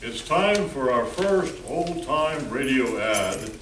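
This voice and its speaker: A man's voice: mid-range voice, very animated, slow, fairly bright tone. A man acting as announcer, reading a scripted stage introduction. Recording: echoey room from far off, clear noise.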